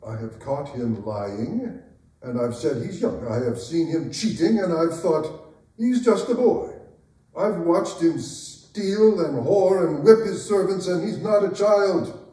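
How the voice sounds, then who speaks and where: A man's voice: on the high side, expressive, slow pace, warm tone. A man acting a proud, bitter, aging king in a staged dramatic reading, with a theatrical, impassioned delivery. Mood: bitter, exasperated. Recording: roomy, echoing hall, distant microphone.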